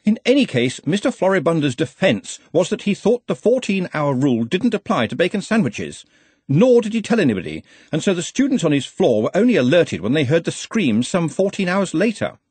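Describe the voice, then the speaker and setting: Guy doing a nervy pedantic wizard assistant from a storybook, high husky voice, fussy, always deferring. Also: very animated, brisk, a little mellow, no room sound. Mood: fussy explaining. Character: anxious, pedantic male wizard assistant, deferential and long-suffering.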